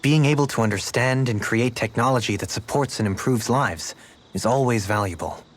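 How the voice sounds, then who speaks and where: A man's voice: mid-range voice, normal speed, natural voice. A man playing a cultured, gracious nobleman who speaks formally and eloquently, in video game dialogue. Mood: encouraging, thoughtful. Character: cultured, courtly nobleman, gracious, reflective, music-loving. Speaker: video game party member. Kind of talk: English-language video game character dialogue.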